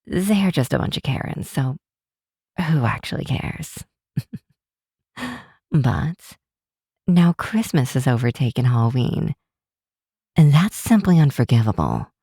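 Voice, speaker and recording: A cynical grinch girl, acted by a woman for ASMR roleplay, with a dark, husky voice. She speaks slowly and sardonically, leaning on words, yet has an affectionate streak underneath. The sound is close and very dry. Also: very deep voice, very animated, no room sound, heavily acted. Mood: exasperated, sardonic. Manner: sardonic, exasperated, drawn-out emphatic words. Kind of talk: ASMR roleplay, scripted acting to the listener.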